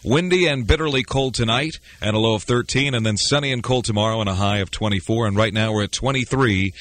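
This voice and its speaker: A man's voice: lowish voice, quick pace, fairly bright tone, dry recording. A man announcing as a morning DJ on 1980s local AM radio, reading notices in a studio. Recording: radio aircheck with music behind.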